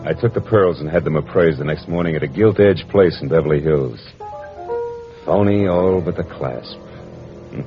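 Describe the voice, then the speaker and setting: An actor playing a world-weary, wry American private detective in old radio noir style, talking in clipped slang. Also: male voice, low voice, natural voice. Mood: wry narrating. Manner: hard-boiled, clipped slang. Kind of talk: 1940s radio noir acting and first-person narration. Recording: dull old radio recording with music.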